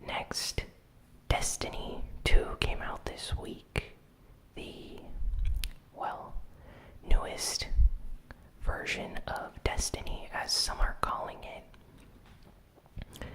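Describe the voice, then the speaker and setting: A host whispering a weekly gaming news recap in ASMR style, soft and unhurried with frequent "um" fillers. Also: low-quality recording. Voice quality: fully whispered.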